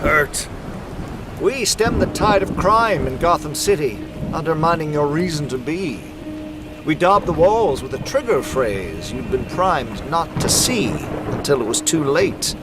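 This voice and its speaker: A man's voice: a little high, big pitch swings, unhurried, loud music behind the voice. A man playing a cruel, grandiose criminal mastermind with theatrical, taunting menace in a motion comic. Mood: gloating menace. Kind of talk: animated motion comic acting.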